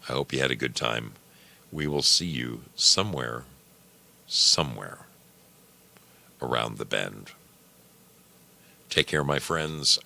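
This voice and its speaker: A man's voice: extremely low pitch, slow, creaky, long pauses, sharp tone. A man hosting a radio program, talking casually and in a folksy, familiar way to his listeners. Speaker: host of an old time radio program.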